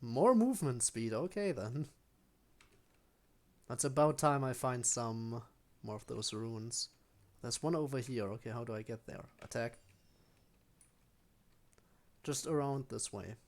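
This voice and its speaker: A man's voice: mid-range voice, expressive, measured pace, long pauses. A man streaming a video game, giving casual live commentary and thinking aloud as he plays. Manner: thinking aloud while playing.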